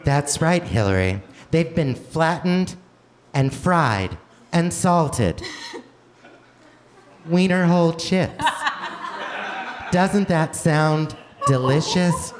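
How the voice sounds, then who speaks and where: A man announcing in mock old-time radio style, with exaggerated, drawn-out salesmanship. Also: fairly high pitch, animated. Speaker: radio show announcer and host. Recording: live theater stage, faint noise.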